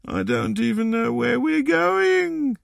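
A man's voice: high-pitched, vocal fry, dry recording, studio quality, voice pushed up, exaggerated voice. A man voicing an upset, frightened little boy in a storybook, speaking plaintively. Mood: tearful, scared. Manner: slow, plaintive delivery.

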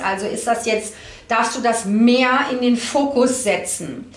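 A woman giving an informal card reading to camera, explaining in a halting way as she searches for words. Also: fairly high pitch. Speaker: tarot card reader. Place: indoor room at a table. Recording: roomy, echoey indoor sound.

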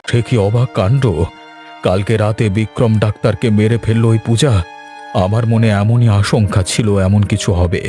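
A man voicing a grave, fretful village gentleman in a scripted cartoon drama. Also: lowish voice, fairly even intonation, normal speed, soft background music, natural voice. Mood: concerned. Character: worried village elder gentleman, addressed respectfully.

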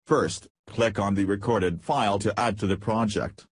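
Husky, low-voiced man narrating a tutorial. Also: moderate pace, no room sound. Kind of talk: scripted software tutorial narration.

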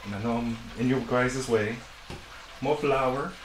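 A man's voice: mid-range voice, unhurried. A man casually narrating his home cooking in short, playful, offhand phrases. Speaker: home cooking vlog host. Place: home kitchen, indoors. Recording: roomy, distant mic, loud kitchen noise. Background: frying, dishes and cutlery clatter.

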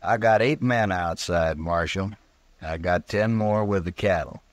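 A combative Texas cowboy, with a lowish voice and an American drawl. He is blunt, folksy and menacing, in vintage radio western style, on a dry recording. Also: unhurried, no echo. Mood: blunt, defiant. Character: defiant, threatening Texas cowboy of the Old West. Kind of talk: old-time American radio western acting.

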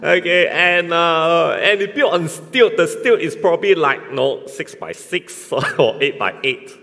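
A man in his thirties with a Malaysian accent preaching a church sermon in short, halting, colloquial phrases, pausing for an interpreter. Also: a little high, animated, vocal fry, a bit bright. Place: large church hall, indoors, on stage. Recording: echoey hall with long reverb.

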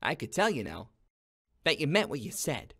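A man voicing a brash, teasing demon gangster with a soft side, slangy and expressive, in a cartoon comic dub. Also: a little high, moderate pace, gravelly, breathy edge, exaggerated voice. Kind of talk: animated comic dub acting.

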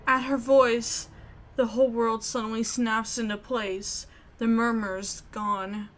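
A woman reading scripted story narration aloud in an expressive way. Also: on the high side, slow. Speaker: video game player reading narration aloud. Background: music.

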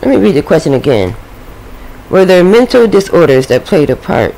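A woman's voice: lowish voice, sing-song, moderate pace, creaky, dark tone, low-quality recording. A woman doing a casual tarot reading at a home table, chatting to the spirit and her viewers. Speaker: tarot reader.

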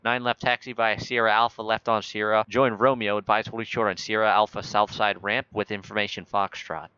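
A man's voice: lowish voice, level tone, brisk, sharp tone, clear, resonant tone, no echo, highs cut off. A man talking as an air traffic controller in a flight simulator game, joking in mock-official radio phrasing.

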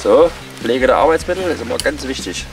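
A man's voice: medium pitch, lively intonation, fairly quick, music in the background. A man chatting casually and joking while cooking and doing chores at a forest camp. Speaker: bushcraft vlogger. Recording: noisy outdoor camp audio.

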